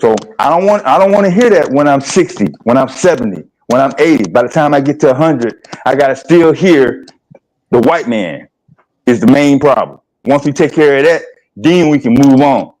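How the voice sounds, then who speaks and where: A man in his fifties from the American South, hosting a livestream discussion in a plainspoken, halting way. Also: mid-range voice, animated, darkish tone, poor audio. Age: 50s. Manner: plainspoken, halting, asks rhetorical questions. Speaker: livestream host. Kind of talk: casual discussion.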